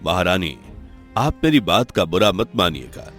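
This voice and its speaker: A man voicing a worried, gentle king in a cartoon story, speaking calmly and courteously. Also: low voice, measured pace, faint music behind, heavily acted. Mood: concerned. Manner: calm, courteous.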